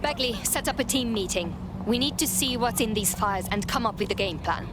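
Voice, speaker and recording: A woman's voice: a little high, lively intonation, fairly quick, very noisy, close to an everyday voice. An actress playing a skeptical, commanding female resistance operative, blunt and challenging, in a video game.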